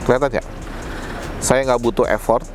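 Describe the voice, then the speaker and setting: A man's voice: medium pitch, steady tone, moderate pace. Man presenting gear over music. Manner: colloquial, explanatory, addressing viewers directly. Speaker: product presenter. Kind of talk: casual product demonstration to camera.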